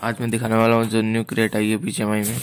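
A man's voice: lowish voice, completely flat delivery, fast talker, background noise. A man with an Indian accent giving casual game showcase commentary, often repeating his phrases. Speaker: gaming video creator and host.

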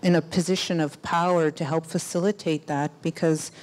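A woman in her thirties speaking informally and reflectively as a panelist, in halting phrases into a handheld microphone. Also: low-pitched, steady tone, a little mellow. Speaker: panelist, filmmaker. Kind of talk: personal storytelling in a panel discussion. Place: stage of a cinema auditorium. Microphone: handheld microphone held close.

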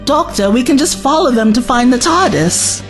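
A woman's voice: sits high, expressive, normal speed, soft background music. An actress playing a plucky, exasperated young woman companion in an audio drama.

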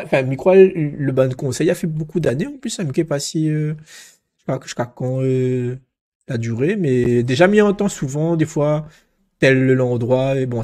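A man's voice: mid-range voice, darkish tone. A man from Réunion with a Réunion Creole accent, chatting casually and enthusiastically in slang as a livestream host. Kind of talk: casual chat.

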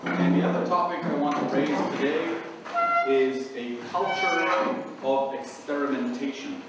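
Loud-voiced man in an echoey room. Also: a little high, a little slow, over loud music. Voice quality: fairly loud voice. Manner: relaxed, conversational, with frequent ums and uhs. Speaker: conference presenter. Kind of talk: conference talk to a small group. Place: small conference room with long tables. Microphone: far camera, speaking without a microphone. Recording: echoey small room from far off, noisy.

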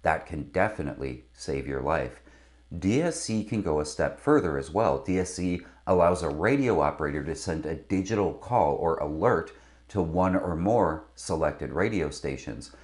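Man, middle-aged, extremely deep pitch, scripted instructional narration, presenter on a lapel mic. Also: lively intonation, moderate pace, darkish tone. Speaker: sailing instructor and video host. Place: indoor studio with branded backdrop.

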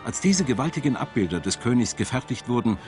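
A man reading scripted documentary narration in a measured storytelling manner. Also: mid-range voice, sing-song, a little mellow. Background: background music.

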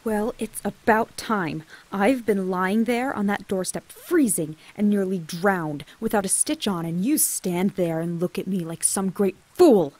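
A woman's voice: medium pitch, expressive, measured pace, few pauses, darkish tone, no echo. A woman playing an indignant, scolding witch wife in a retro radio drama. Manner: scolding, exasperated.

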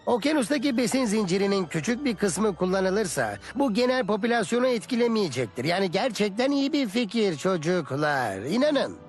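An actor playing an animated, wise old teacher in a dubbed educational cartoon, with a creaky voice. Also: male voice, high-pitched, normal speed, few pauses, soft background music. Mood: reassuring. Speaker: cartoon mentor.

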